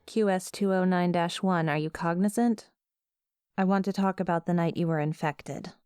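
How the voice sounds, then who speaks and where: Stern, smooth-voiced female scientist, acted by a woman. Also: lowish voice, steady tone, moderate pace, darkish tone, no echo, clean studio sound, lightly acted. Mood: clinical, formal. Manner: clipped, formal, clinical. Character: stern, cold female scientist interrogating a monster. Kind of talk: audio roleplay acting.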